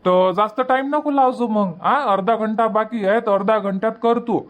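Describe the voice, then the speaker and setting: A man's voice: high-pitched, moderate pace, close to an everyday voice. A practical village uncle from a cartoon comedy , performed by a man. He speaks in a rural Varhadi Marathi dialect, as in everyday family dialogue. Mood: hurrying, practical.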